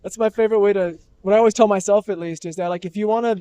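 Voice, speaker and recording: A man's voice: sits high, steady tone, very fast, dark tone, clean tone, dry recording. A young man from New Hampshire, a musician being interviewed at a festival, talking in a thoughtful, rambling way with many restarts. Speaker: musician guest. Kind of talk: casual interview.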